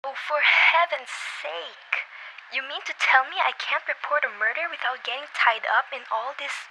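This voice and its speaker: High, piercing female actor as self-important wife, fretful and demanding, radio drama, audible noise. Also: a little slow, pushed and bright, full character voice. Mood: exasperated. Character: imperious, agitated, self-important married woman. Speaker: amateur voice actor. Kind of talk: radio play acting. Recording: noisy amateur radio play recording.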